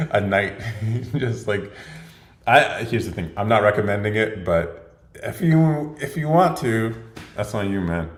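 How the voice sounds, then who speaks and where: A young man hosting a livestream Q&A podcast, chatting casually and laughing often as he jokes. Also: fairly low pitch. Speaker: podcast host. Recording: echoey room.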